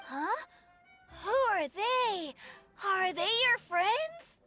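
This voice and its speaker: A woman's voice: extremely high pitch, sing-song, drawn-out words, sharp tone, soft background music, full character voice. A woman voicing an eager, innocent little boy with a childlike voice in a video game. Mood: puzzled, concerned. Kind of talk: video game character acting.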